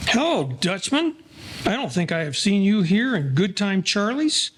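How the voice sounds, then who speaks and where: A man's voice: high voice, big pitch swings, normal speed. A man playing an inquisitive, chummy Broadway wise guy in formal slang, for a 1940s-style audio play. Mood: chummy, curious. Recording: noisy recording with clicks.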